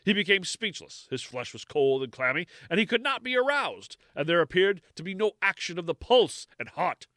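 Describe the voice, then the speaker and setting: A man's voice: sing-song, bright, forceful tone, no echo, studio quality, full character voice. A man doing an old-timey radio newsman. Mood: formal. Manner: brisk, clipped, high announcer delivery.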